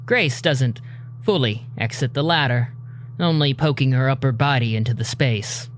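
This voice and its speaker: A man narrating a scripted audio drama in a measured, dramatic storytelling manner. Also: medium pitch, slight background noise.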